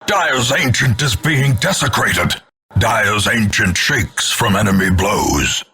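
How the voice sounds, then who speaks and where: An actor playing a grim, contemptuous older narrator of doom, announcing a video game with grandiose, theatrical gothic delivery. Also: male voice, on the low side, expressive, sharp tone, husky, heavily acted. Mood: grave warning. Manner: grandiose, ornate, measured dramatic delivery.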